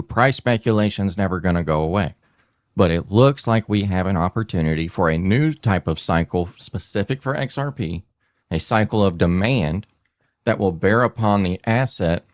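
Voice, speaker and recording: A man's voice: sits low, darkish tone, no echo, studio quality. A man hosting a casual crypto talk show, rambling and emphatic, with many fillers and restarts. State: hot and sweating. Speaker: podcast host.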